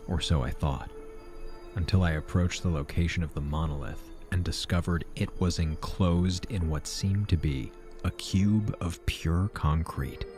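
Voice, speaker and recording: A low-voiced, weary aging narrator over music. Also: male voice. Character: weary, regretful aging man recounting his troubled past. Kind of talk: first-person fiction podcast narration.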